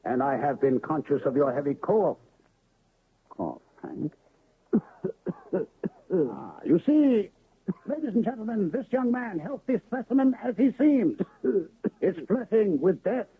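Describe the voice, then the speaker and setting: A pompous snake-oil pitchman. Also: big pitch swings, slow pace, pauses often, husky, full character voice. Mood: grandiloquent. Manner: grandiose, florid sales patter. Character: pompous smooth-talking traveling medicine-show pitchman, male. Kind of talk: 1970s radio drama acting. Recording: narrow lo-fi old radio broadcast.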